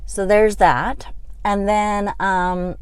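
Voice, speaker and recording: A woman's voice: mid-range voice, level tone, extremely slow, clear, resonant tone, dry recording. A middle-aged woman giving a frustrated, rambling update on her health, venting with many fillers. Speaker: health vlogger.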